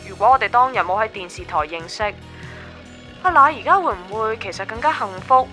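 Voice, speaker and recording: A woman's voice: fairly high pitch, lively intonation, a little fast, sharp tone, faint music behind. An actress with a Hong Kong Cantonese accent playing a wistful young woman in a radio drama trailer.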